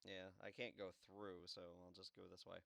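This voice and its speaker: Male game streamer: low, creaky voice, slow wry chat, close dry mic. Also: completely flat delivery, bright, forceful tone, no echo. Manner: wry, playful, joking. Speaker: gameplay commentator playing the game. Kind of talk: casual let's play chat.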